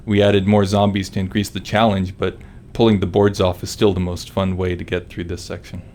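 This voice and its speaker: Deep, even-toned man reading developer commentary. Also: brisk, a little hiss or hum. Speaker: game developer.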